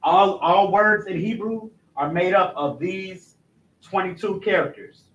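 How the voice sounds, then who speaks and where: A man's voice: measured pace, lo-fi sound. A man leading a recorded language class in a casual, repetitive way, often adding "you know what I'm saying" in a dropped voice. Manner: recites letters loudly and rising in pitch. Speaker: class instructor.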